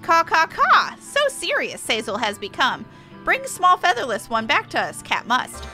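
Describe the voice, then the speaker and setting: Woman as a broody game bird creature, squawky, inverted phrasing, swooping bright delivery. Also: sits high, huge pitch swings, unhurried, soft background music, full character voice. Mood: resolute. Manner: squawking, speaks in inverted sentences. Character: gruff, broody birdlike beast-tribe creature with inverted grammar.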